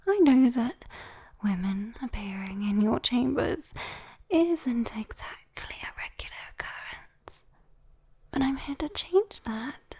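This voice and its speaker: A British woman voicing a sweet, teasing, possessive demon girl in a breathy, coaxing whispered ASMR roleplay. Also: on the high side, lively intonation, darkish tone, heavily acted. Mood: playful teasing. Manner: breathy, teasing, coaxing, slow with lingering pauses. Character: sweet, teasing, possessive young female demon comforting a human.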